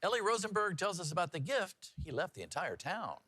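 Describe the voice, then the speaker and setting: A man's voice: high voice, sing-song, a little fast, sharp tone, dry recording. A man working as a TV news anchor, reading headlines and bantering in a chatty, folksy way. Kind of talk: newscast reading mixed with casual co-anchor banter.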